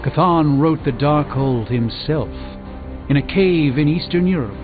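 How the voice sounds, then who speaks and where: An actor playing a learned sorcerer mentor in a video game, speaking in a grave, measured, formal way. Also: male voice, a little high, close to an everyday voice. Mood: measured, concerned. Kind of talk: video game character dialogue. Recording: dull band-limited game audio, music behind.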